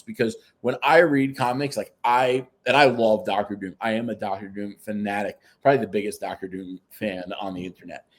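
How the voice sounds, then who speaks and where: Excited young man, low voice. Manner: excited fan talk, eager and emphatic. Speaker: podcast co-host. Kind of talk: casual podcast chat.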